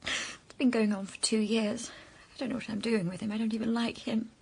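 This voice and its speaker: Tearful young woman, breathy and high, pleading. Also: fairly even intonation, brisk, heavily acted. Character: emotional young woman Elizabeth, torn lover. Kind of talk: radio drama acting.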